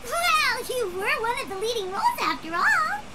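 Squeaky, perky little fairy girl. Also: very animated, normal speed. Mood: cheerful. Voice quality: squeaky, childlike. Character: tiny floating fairy-like girl companion, perky and chatty. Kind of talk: anime video game dialogue.

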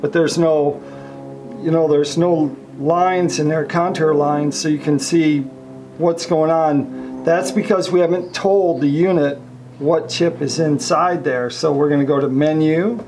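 A man's voice: on the high side, measured pace, soft background music. A man walking through the setup of a boat navigation chart card in a casual hands-on demonstration, with many fillers. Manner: relaxed, many fillers, talks through each step. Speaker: marine electronics reviewer.

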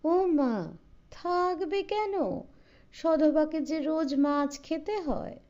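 A high-pitched, nosy old great-aunt from a storybook, acted out by a woman reader. She chatters in exclamations and needles with teasing, sharp-tongued remarks, her voice lifting well up at times. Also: very slow, dull audio. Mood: scolding surprise. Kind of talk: storybook character voice.

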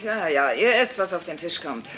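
An actress playing a wisecracking, deadpan working-class mother who waits tables, in a dubbed sitcom. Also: low voice, normal speed, crowd noise. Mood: sarcastic. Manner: sarcastic, deadpan. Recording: audience laughter and noisy ambience.

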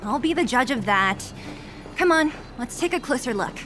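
A woman's voice: high voice, animated, slight background noise. Give me a cool, tough young woman. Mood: confident. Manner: brisk, cool, self-assured. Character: confident, curt, tough young underworld woman. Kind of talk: scripted anime-style video game voice acting.

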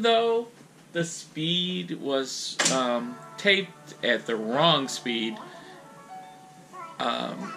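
A middle-aged man giving a casual, folksy how-to talk at home, speaking haltingly into a camera a few feet away. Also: mid-range voice, low-quality recording. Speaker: host demonstrating audio gear. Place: room in a house.

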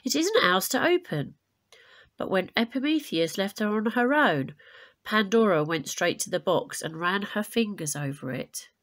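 A woman teacher reading a children's picture book aloud expressively, with deliberate, dramatic emphasis on key words. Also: mid-range voice, lively intonation. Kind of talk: expressive storybook read-aloud.

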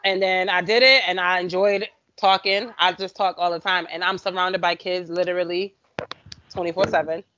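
A woman in her thirties with a bright, forward voice, chatting at length and teasing her co-host. She talks over a video call from home. The sound is dull and lo-fi. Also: lowish voice, normal speed. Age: 30s. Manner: talkative, teasing, animated. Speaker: podcast co-host. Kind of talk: casual chat. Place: home room. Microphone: webcam on video call.

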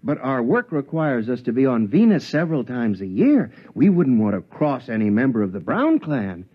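An actor playing a harried, placating spaceship captain in a 1950s radio drama, hesitant and coaxing in a formal way. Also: male voice, medium pitch, big pitch swings, moderate pace, breathy edge. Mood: persuasive. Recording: muffled 1950s radio recording.

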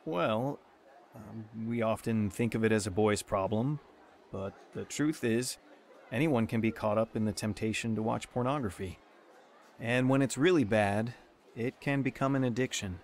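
A man's voice: on the low side, slight background noise, close to an everyday voice. A man playing a patient, kindly pastor with faint ambience. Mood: earnest, explaining. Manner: measured, reassuring, explanatory. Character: kindly male pastor, patient and gently counseling. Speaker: actor in a radio drama.

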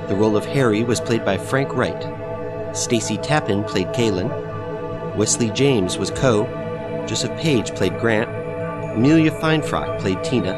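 A man's voice: lowish voice, unhurried. A man reading scripted end credits as the announcer of an audio play. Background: new-age music.

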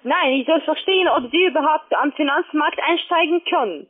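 Female phone sales agent, non-native speaker, high pitch, halting but pushy, thin telephone sound. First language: not German. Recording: narrow tinny phone line.

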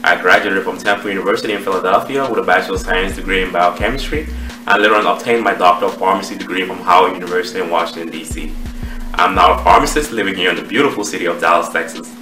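A man in his thirties with a Cameroonian accent, giving a measured, explanatory presentation to camera as a trading educator. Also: sits low, sharp tone, faint music behind. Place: small home office, indoors. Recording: roomy echo, distant microphone.

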